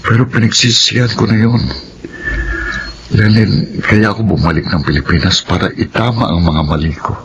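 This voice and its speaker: A man's voice: lowish voice, fairly even intonation, moderate pace, background noise, lightly acted. An actor with a Filipino accent playing an earnest, remorseful father who pleads after a long absence, in a melodrama. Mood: remorseful, pleading. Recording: dull lo-fi sound, music behind.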